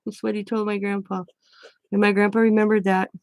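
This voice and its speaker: A woman's voice: medium pitch, level tone, a little fast, a little mellow, no echo. A woman from the Midwest, chatting casually and rambling while she crafts, laughing often. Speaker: craft vlogger.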